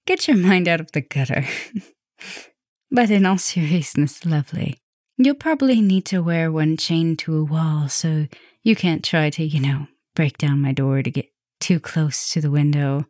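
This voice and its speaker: Casting: woman to play female vampire professor for ASMR roleplay. Lowish voice, close and dry, caring soft-dominant mistress, gentle with breathy dips. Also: moderate pace, no echo. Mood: playful, reassuring. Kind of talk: ASMR roleplay, speaking intimately to the listener.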